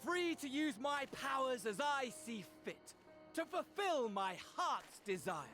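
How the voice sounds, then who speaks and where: A man's voice: very high voice, big pitch swings, slow pace, pushed and bright, faint music behind, full character voice. A male actor playing a theatrical, unhinged doomsday villain in a video game, mock-courteous and mocking, swinging between a purr and a shriek. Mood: smug, gloating. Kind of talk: video game cutscene voice acting.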